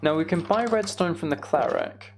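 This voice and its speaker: A man's voice: a little high, animated, moderate pace, gravelly. A man giving casual, rambling gaming commentary to a live chat, with playfully exasperated banter. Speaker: game streamer hosting a live chat stream.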